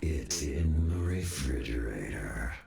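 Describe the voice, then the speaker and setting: A sinister video game wraith, speaks in an extremely deep, gravelly growl. The menacing lines come slow and echoing. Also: male voice, expressive, heavily acted. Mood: sinister deadpan. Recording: echoing game audio under loud music.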